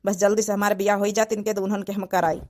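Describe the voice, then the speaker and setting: A woman's voice: medium pitch, flat delivery, rapid-fire, a little mellow, lightly acted. A woman playing an earnest widowed village father in a cartoon drama, plain-spoken, with an Awadhi accent. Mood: sorrowful, guilty. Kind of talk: cartoon comedy-drama dialogue in village dialect.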